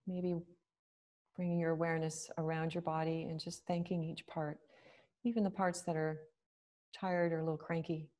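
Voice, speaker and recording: A woman leading a guided meditation, speaking slowly and gently with long pauses. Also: deep voice, little pitch movement.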